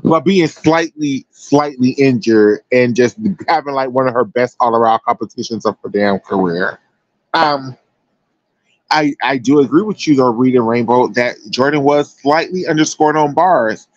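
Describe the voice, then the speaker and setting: A man's voice: medium pitch, normal speed, no echo, dull audio. An American man giving casual, chatty sports commentary as a livestream host, emphatic and slangy.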